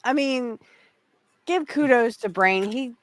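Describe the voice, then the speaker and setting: A woman's voice: on the high side, slow. A woman co-hosting a casual wrestling podcast, chatty and giggly, talking in fits and starts with plenty of fillers. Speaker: podcast co-host.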